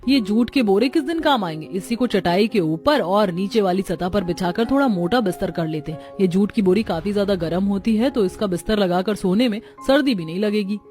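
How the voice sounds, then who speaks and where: A woman voices family dialogue for a cartoon story. Her pitch is medium. Soft music runs behind her. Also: lively intonation, fairly quick, few pauses. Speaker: voice of the women of a family.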